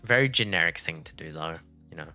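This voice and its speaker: A man's voice: low voice, expressive, fast talker, gravelly, pushed and bright, highs cut off. A man from South Africa with a South African accent, whose first language is Afrikaans, chatting casually and thinking aloud on a live game stream. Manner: rambling, thinking aloud, unscripted. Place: indoors at a laptop. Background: music playing.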